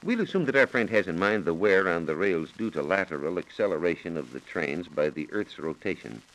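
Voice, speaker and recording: A man's voice: low voice, lively intonation, a little fast, a bit bright, rough recording. A man explaining science on a 1940s radio program in a precise, methodical, formally worded manner, on a vintage broadcast recording.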